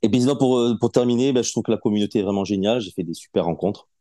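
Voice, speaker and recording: A man in his late forties with a low, mellow voice talks as a podcast guest. He is relaxed and unhurried, often hesitating and restarting his sentences. The sound is dry and close. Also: no echo. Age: 40s. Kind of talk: casual interview chat.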